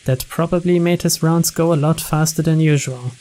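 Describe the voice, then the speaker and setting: A man's voice: a little high, steady tone, moderate pace, a little mellow. A man reading a mystery story aloud as narrator, in a scripted narration style.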